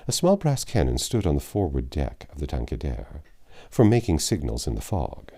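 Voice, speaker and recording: A man reading a classic adventure novel aloud as a storybook narrator, with a measured, dramatic storytelling delivery. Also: a bass voice, huge pitch swings, mellow. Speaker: audiobook narrator.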